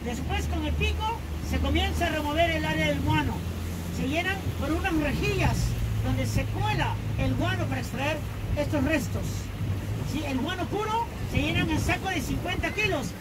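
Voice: high voice, expressive, moderate pace, far from the mic. A tour guide with a Peruvian accent giving live, explanatory commentary outdoors on an open boat. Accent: Peruvian Spanish. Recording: loud boat motor noise, crowded open-air recording. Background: motorboat engine, people around.

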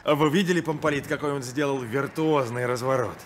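A courteous ship's captain, played by a man, gives orders with quiet authority in a mid-pitched voice. It is an old radio play with faint noise behind the voice. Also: fairly quick, lightly acted. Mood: admiring, commanding. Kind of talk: radio drama acting.

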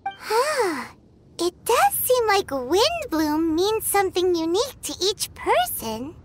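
A woman voicing a tiny, bossy, chirpy fairy girl in a video game, with a squeaky childlike voice and perky, emphatic delivery. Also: very animated, slow, a little hiss or hum, heavily acted. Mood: curious, perky. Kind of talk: cartoonish video game character acting. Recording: loud music behind the voice. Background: game music.

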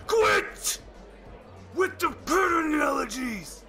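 An actor playing a furious, brutish shapeshifter gang boss in an audio drama, snarling and shouting with bluster. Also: male voice, very high voice, expressive, slow, soft background music, a faint crowd, heavily acted. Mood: enraged.

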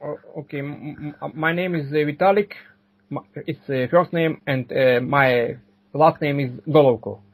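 A man, answering interview questions haltingly and repeating words and phrases. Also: fairly high pitch, fairly even intonation. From Ukraine. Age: 40s. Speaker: podcast guest.

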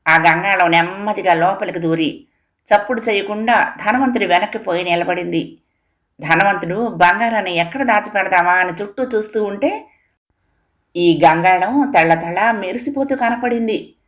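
A woman narrates a scripted moral tale for children. Her pitch sits in the middle range for a woman. She speaks as a storyteller. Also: normal speed.

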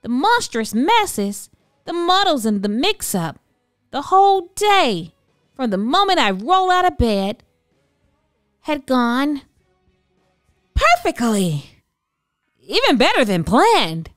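Lady reading a kids book, super expressive, takes her time, dry close sound with music under it. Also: sits high, no room sound. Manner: theatrical, drawn-out emphasis on key words. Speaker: storybook narrator. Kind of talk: expressive children's read-aloud narration. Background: background music.

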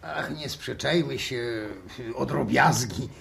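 An actor playing a polite, hesitant, conciliatory scientist in 1960s radio drama style. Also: male voice, mid-range voice, slow pace, vocal fry, a crowd in the background. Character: hesitant, conciliatory male scientist, mildly uneasy.

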